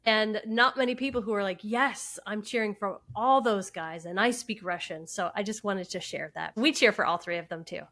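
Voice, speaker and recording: A woman co-host on a casual chat podcast, talking easily at an everyday middle pitch. She shares listener mail in a friendly, unhurried way. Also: a bit bright.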